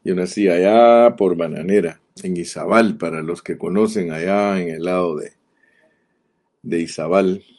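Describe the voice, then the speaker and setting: A middle-aged man from Guatemala with a Guatemalan accent delivers a sermon in an earnest, emphatic way. His voice sits very low and sounds dark and mellow. He often repeats a word slowly to drive a point home. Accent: Guatemalan Spanish. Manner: earnest, emphatic, repeats key words, slows down to stress them. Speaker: preacher.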